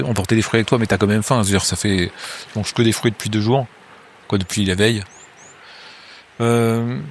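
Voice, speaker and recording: A man with a low voice guides a player through a tabletop horror game, describing the scene in the second person. He talks haltingly, with many hesitations and restarts. A faint noise sits under his voice. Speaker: game master.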